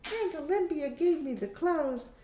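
A woman voicing a young adult daughter, bright and testing, in an audiobook story. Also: sits high, lively intonation, measured pace, a little hiss or hum, pitched above the performer's own voice. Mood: casual, eager. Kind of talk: audiobook character voice. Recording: roomy echo.

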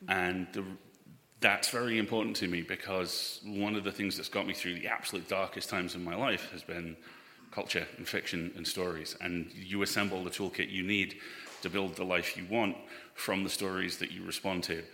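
A man's voice: deep voice, level tone, normal speed, pushed and bright. A man speaking earnestly as an actor on a live Q&A panel, recorded in an echoey hall. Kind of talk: casual live Q&A.

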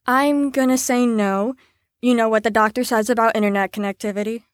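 A woman's voice: on the high side, steady tone, a little fast, no echo, studio quality, natural voice. A woman playing a chipper but firm mental facility staffer in an audio drama, with a perky, authoritative manner. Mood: firm, dismissive.

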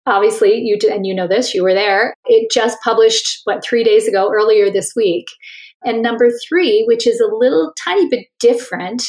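A woman's voice: on the high side, moderate pace, darkish tone, low-quality recording. A woman author and coach, a guest in an interview, speaking in a reflective, conversational way with frequent restarts.

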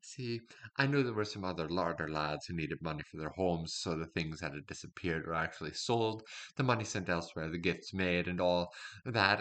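A man's voice: low voice, a bit bright, pitched below the performer's own voice. A man voicing a sly male servant turned royal spymaster, rambling and confiding with a put-on folksy accent, calling his listener sir again and again. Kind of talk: storytelling monologue.

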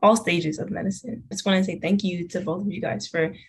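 A woman's voice: lowish voice, fairly even intonation, fairly quick, gravelly, heavy background noise. A young woman, a medical student, hosting a podcast interview in a polite, friendly way.

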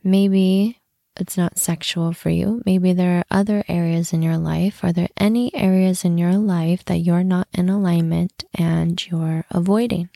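Slow, gravelly-edged woman guiding a meditation. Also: on the low side, dry recording, clean studio sound. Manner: soothing, unhurried, with long pauses and breathy softened phrase endings. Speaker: podcast host and meditation guide. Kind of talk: guided meditation narration.

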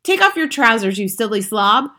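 A woman voicing rowdy, jeering male kitchen workers in a storybook reading, barking loud shouts. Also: fairly high pitch, big pitch swings, normal speed, full character voice.

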